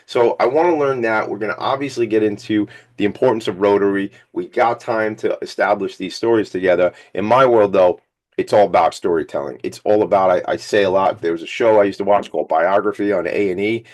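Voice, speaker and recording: A man hosting a radio talk show with a slightly hoarse, scratchy voice, talking in an energetic, rambling, hype-man way. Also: on the low side, brisk. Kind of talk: casual hype radio chat.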